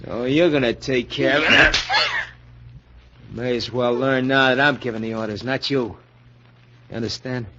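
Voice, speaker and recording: A man's voice: mid-range voice, a little slow, pauses often, heavy background noise, lightly acted. Man playing a cocky radio-drama crook, dull recording. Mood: bossy. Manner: slangy, domineering tough-guy talk. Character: cocky, bullying small-time crook and scheming lover. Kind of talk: old-time radio crime drama acting.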